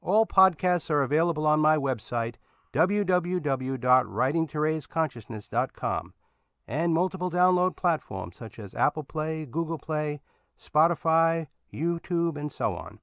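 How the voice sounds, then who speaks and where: Male podcast host: scripted outro read, measured, slightly high voice, dry close sound. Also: lively intonation, fairly bright tone, no echo.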